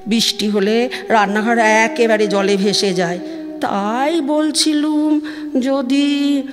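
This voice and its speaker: A woman's voice: on the high side, fairly even intonation, takes it slowly. A woman acting an anxious, nagging village mother on the phone in an audio drama, with colloquial West Bengal speech. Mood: coaxing, fretful. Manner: colloquial, insistent, questioning. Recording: long studio reverb, loud music underneath. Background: loud music.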